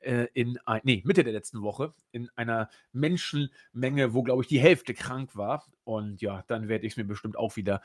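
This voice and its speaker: A man hosting a podcast in casual chat, a bit hoarse from a cold, who often restarts his sentences. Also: medium pitch, moderate pace, hardly any pauses. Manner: chatty, restarts sentences, laughs at own slips. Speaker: podcast host.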